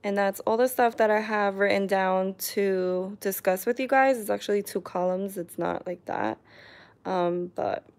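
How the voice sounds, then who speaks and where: A woman's voice: mid-range voice, unhurried, a little mellow. A young woman from New York chatting casually on a live stream, hesitant with many ums, in her living room. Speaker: vlogger reading live chat comments. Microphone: camera on a tripod.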